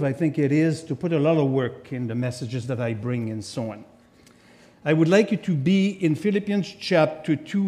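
A man from Quebec with a French Canadian accent, preaching a sermon as a guest speaker in a church, with lively asides to the congregation. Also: a little high. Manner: animated, chatty asides to the congregation. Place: church sanctuary. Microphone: lectern microphone.

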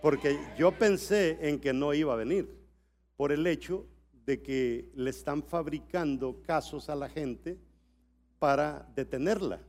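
A middle-aged man from El Salvador preaching a sermon at a church conference, dropping to quiet storytelling and then building to shouted exhortation. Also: on the high side, measured pace, slight background noise.

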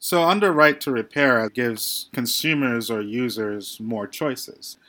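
A man's voice: medium pitch, a little slow, pushed and bright. A young man from Brooklyn co-hosting a podcast, upbeat as he reads a prepared outline. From Brooklyn, New York. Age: young adult. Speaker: podcast co-host. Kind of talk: scripted podcast introduction.

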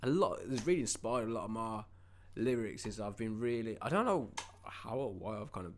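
A young man talks casually as a podcast co-host. His voice is on the low side. He rambles along informally, thinking things over as he goes. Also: animated, a little fast, slight background noise. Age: 20s. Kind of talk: casual chat.

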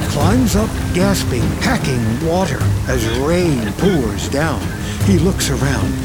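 Guy narrating a movie script, dramatic and terse, medium pitch, music behind him. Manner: terse, present-tense storytelling.

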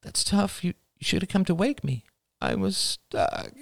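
Man voicing a weary young mother, forced sing-song, raised pitch, dry sound. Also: big pitch swings, measured pace, gravelly, a bit bright, no room sound, exaggerated voice. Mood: coaxing.

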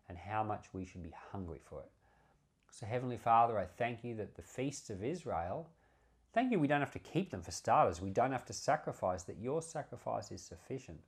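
Man, pastor, informal Bible teaching, earnest, conversational, emphatic repetition, animated intonation. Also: mid-range voice. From Australia. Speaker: pastor, Bible podcast host.

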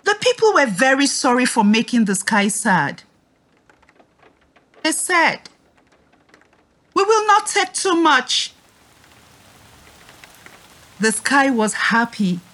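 Lady teacher doing a read aloud for little kids, super slow and careful, bright voice, bit of music behind. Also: high voice. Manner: slow, deliberate, expressive, prompting listeners to repeat. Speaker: teacher leading a children's read-aloud lesson. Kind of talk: scripted lesson and storytelling for young children.

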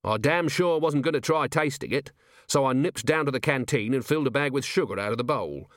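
A man's voice: mid-range voice, lively intonation, fairly quick, no echo, studio quality, voice pushed up, lightly acted. A man voicing a cynical, world-weary middle-aged police commander in an audiobook, blunt and sardonic. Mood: wry.

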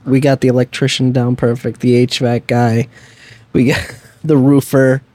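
A man co-hosting a podcast, teasing his friend with deadpan jabs. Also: medium pitch, normal speed, dry recording. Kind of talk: casual podcast banter.

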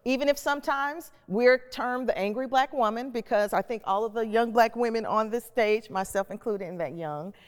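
A woman's voice: a little high, normal speed, hardly any pauses. A middle-aged woman hosting and moderating a panel discussion. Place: stage in a reverberant hall. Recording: echoey hall with long reverb.

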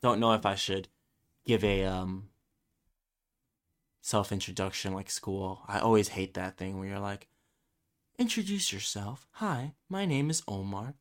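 A man in his twenties with a fairly low voice rambles through a solo podcast episode, circling back and restarting his thoughts. He grew up speaking Spanish first. His tone stays casual and self-deprecating. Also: animated, measured pace, long pauses. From Brooklyn, New York. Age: 20s. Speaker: podcast host.

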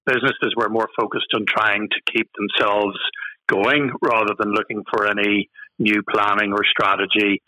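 A man's voice: fairly low pitch, little pitch movement, moderate pace, sharp tone, dry recording, rough recording. A middle-aged Northern Irish man, a guest on a podcast, talking with many fillers and restarts. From Northern Ireland. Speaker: guest, marketing consultant. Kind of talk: podcast interview.

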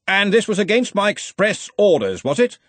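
A man's voice: sits high, sing-song, moderate pace, fairly bright tone, no echo, voice pushed up, full character voice. A man voicing a brash, cheerful, domineering wizard arch-chancellor in a storybook, blustery and commanding. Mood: brisk questioning.

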